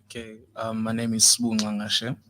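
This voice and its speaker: Hesitant young South African man, even pitch. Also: a little hiss or hum. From Mdantsane, South Africa. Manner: hesitant, halting, reserved. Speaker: radio guest. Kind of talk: casual radio interview chat.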